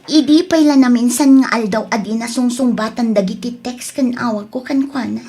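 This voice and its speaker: A woman's voice: a little high, vocal fry, a little mellow, breathy edge, exaggerated voice. A woman acting in an Ilocano audio drama as a defiant, lovesick young daughter, with a plaintive, emotional delivery. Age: young adult.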